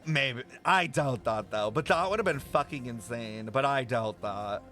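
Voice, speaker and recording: A young man streaming a game reaction, thinking out loud with excited speculation and casual swearing. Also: medium pitch, unhurried, faint music behind.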